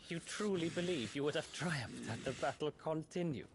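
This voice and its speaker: A man playing a cool, sinister schemer in a video game, taunting with calm condescension. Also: on the high side, normal speed, slight background noise. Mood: cool mockery. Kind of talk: dramatic video game acting.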